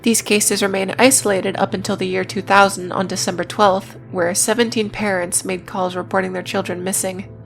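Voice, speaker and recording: A woman's voice: mid-range voice, level tone, moderate pace, gravelly. A woman narrating a scripted video essay in a matter-of-fact way, with casual, dryly irreverent asides. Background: music.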